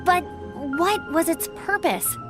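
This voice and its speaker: A woman's voice: extremely high pitch, expressive, takes it slowly, full character voice. An actress playing an earnest, anxious young woman in a fantasy video game, with a questioning manner. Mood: puzzled. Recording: loud background music under the voice.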